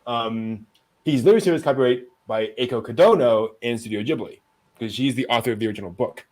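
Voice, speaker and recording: A man's voice: mid-range voice, fast, a little mellow, a little hiss or hum. A man hosting a news podcast, delivering items in a casual, conversational way. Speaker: anime news podcast host.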